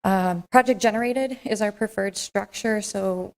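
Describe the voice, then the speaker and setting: A soft-spoken woman on city staff, giving a measured, explanatory presentation at a council meeting. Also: medium pitch, poor audio. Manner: measured, explanatory, with fillers and restarts.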